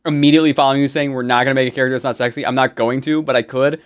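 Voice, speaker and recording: A man's voice: mid-range voice, steady tone, very fast, smooth. Eager stammering man on a stream. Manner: enthusiastic, stammering restarts. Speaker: co-host and audio drama producer. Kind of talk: casual stream chat.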